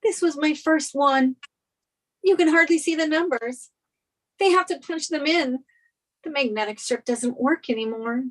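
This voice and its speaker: A high voice for a young, pampered sitcom woman, acted by a woman at a table read. She is anxious and wistful, hesitating as she pleads her case. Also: a little slow, fairly bright tone. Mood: nostalgic.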